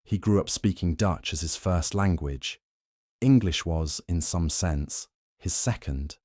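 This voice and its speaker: Male bedtime narrator: deep, warm-toned, unhurried and even, dry booth sound. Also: no echo. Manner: slow, measured and soothing. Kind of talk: calm scripted bedtime narration.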